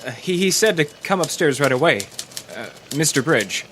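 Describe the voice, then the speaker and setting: An actor playing a nervous, earnest, deferential young newspaper underling who speaks hesitantly in an old-time radio drama. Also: male voice, a little high, big pitch swings, normal speed, a bit bright. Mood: urgent. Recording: noisy live stage, slightly distant.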